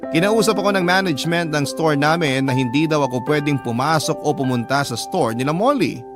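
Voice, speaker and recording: A man playing a young fast-food worker, telling his own story earnestly and in measured fashion as scripted radio drama narration. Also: fairly high pitch, lightly acted. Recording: music bed behind the voice.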